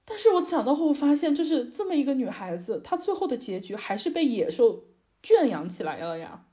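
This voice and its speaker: Higher-pitched woman giving opinionated casual commentary. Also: big pitch swings, brisk, mellow. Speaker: podcast co-host.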